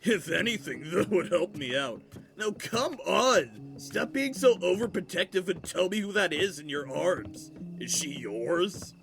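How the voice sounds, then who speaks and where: High, creaky, whiny village busybody. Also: male voice, sing-song, over music, heavily acted. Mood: nosy, pestering. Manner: hesitant, drawn-out, wheedling and defensive. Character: nosy, dim, whiny village man, Manny, large Hylian. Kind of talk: fantasy audio drama acting.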